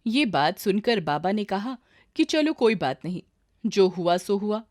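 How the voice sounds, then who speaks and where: A woman's voice: mid-range voice, expressive, unhurried, a little mellow. A woman reading a scripted story as its narrator.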